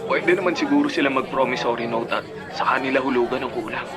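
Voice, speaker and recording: A man's voice: medium pitch, fast, close to an everyday voice. A man with a Filipino accent playing a practical, sceptical but polite grown son in a radio drama. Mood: incredulous. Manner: questioning, polite. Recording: music and outdoor crowd sounds behind.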